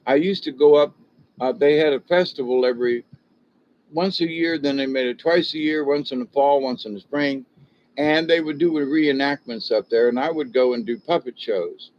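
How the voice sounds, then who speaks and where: An older man with a slight Southern drawl hosts a rambling, folksy livestream and keeps drifting from one story to the next. His voice is mid-pitched and ordinary. The audio is dull and lo-fi. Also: moderate pace, a little hiss or hum. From born in Ohio, raised in Florida and South Georgia. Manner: folksy and digressive, chuckling at his own jokes, mimics others in a high voice. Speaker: livestream host chatting with viewers. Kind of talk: rambling casual chat.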